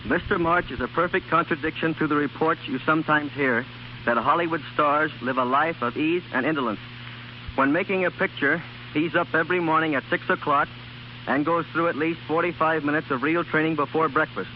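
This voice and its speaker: Highish-voiced man, noisy old radio. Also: steady tone, brisk. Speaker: celebrity fitness trainer, guest. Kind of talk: scripted radio testimonial. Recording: muffled, noisy 1930s radio recording.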